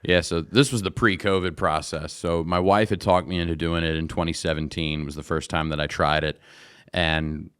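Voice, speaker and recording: Deep-voiced man, laid-back storyteller. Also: fairly even intonation, moderate pace, fairly bright tone, smooth, no room sound, clean studio sound. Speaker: interview guest, singer and musician. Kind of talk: casual storytelling in an interview.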